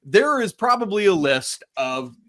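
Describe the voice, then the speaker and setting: A man's voice: high voice, very animated, measured pace, a bit bright. A man co-hosting a sports podcast, arguing his points emphatically in casual debate. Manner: emphatic, argumentative. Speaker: podcast co-host.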